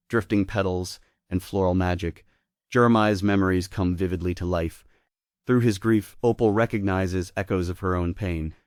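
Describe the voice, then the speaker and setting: Low-voiced man reading stage directions. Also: no echo, clean studio sound. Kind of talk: scripted narration.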